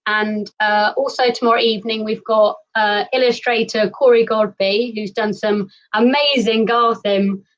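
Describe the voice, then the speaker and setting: A woman hosting a live stream, upbeat and quick to laugh. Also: on the high side, fairly even intonation, recorded from a distance, dull audio. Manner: bright, giggly, upbeat. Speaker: host. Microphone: video call.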